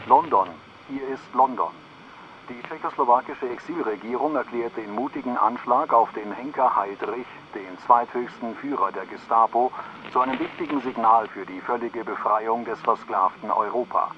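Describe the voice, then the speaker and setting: Resistance radio announcer: solemn 1940s delivery, medium pitch, old-radio muffling. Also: male voice, normal speed, dull audio, a little hiss or hum. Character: solemn male London exile radio announcer, wartime. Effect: old radio filter. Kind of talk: 1940s radio broadcast in a radio play.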